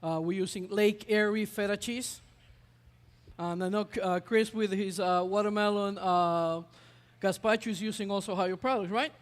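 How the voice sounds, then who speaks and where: A man talks through a cooking demo, his voice sitting high for a man. He is chatty and halting, filling pauses with uh. The sound rings with long reverb from a festival hall. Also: steady tone. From Ecuador. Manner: chatty, hesitant with many uh fillers. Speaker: chef. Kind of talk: live cooking demonstration.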